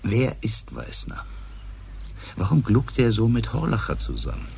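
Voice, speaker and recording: Dry, sceptical detective, low gravelly voice, musing delivery, radio play with faint noise. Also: male voice, big pitch swings, measured pace, darkish tone, exaggerated voice. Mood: probing, intent. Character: male provincial police inspector, brooding, sceptical, dry. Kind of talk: naturalistic German radio crime drama acting.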